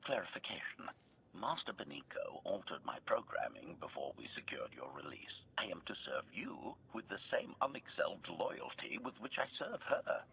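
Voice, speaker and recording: Combat droid: formal, servile, menacing, hard bright metallic edge, wildly varied pitch, video game. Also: male voice, big pitch swings, normal speed, creaky, slight background noise, heavily acted. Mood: formal, obedient. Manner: announces each statement type, formal and clipped. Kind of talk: video game character acting.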